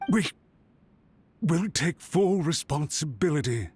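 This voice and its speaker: An anxious, servile official from a video game in a fairly high voice. He is formal and deferential, always apologetic and pleading. Music plays behind him. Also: expressive, slow pace, darkish tone, breathy edge, heavily acted. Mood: desperate, apologetic. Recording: background music.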